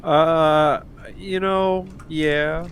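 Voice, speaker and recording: A man playing a tabletop game, speaking casually in a hesitant, halting way. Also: on the high side, fairly even intonation, a bit bright, slight background noise.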